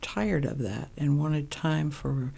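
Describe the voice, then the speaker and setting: A woman of sixty-eight explaining her work as an interview guest, in a measured, halting, thoughtful way. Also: low-pitched, creaky, warm tone, slightly rough. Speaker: interview guest, co-founder of a women's program.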